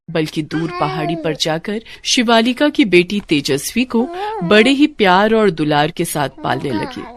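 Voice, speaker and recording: A woman's voice: on the low side, very noisy. A woman narrating a scripted story in a dramatic storytelling style. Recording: music bed behind the voice. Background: music.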